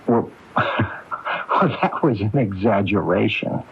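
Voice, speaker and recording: A tinny 1980s AM radio drama recording. a crooked businessman, evasive and defensive, with a husky voice and lively swings in pitch. He tends to stammer his way through excuses. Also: takes it slowly, heavily acted. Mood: evasive, nervous.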